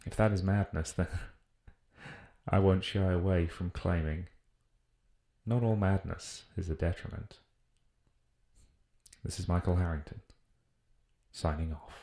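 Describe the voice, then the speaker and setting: Deep, mellow British naturalist played by a man. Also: fairly even intonation. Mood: musing, earnest. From United Kingdom. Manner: formal, deliberate, philosophical. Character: earnest, musing man, a naturalist reporting nature's secret messages. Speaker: voice actor. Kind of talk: scripted monologue as a field report. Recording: roomy, echoing voice recording.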